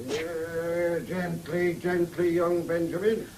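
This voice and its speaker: A man's voice: a little high, level tone, darkish tone. An actor playing a patient old farming grandfather in a radio drama, with a rural English accent and a soothing, coaxing manner. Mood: calming, firm. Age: older. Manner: soothing, coaxing, drawn-out delivery. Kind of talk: BBC radio drama acting. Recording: faintly noisy old radio broadcast.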